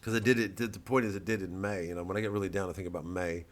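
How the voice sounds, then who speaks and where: A man's voice: deep voice, steady tone, very fast. A man, a comedian, talking casually to camera in a rambling way, with stammering restarts and free swearing.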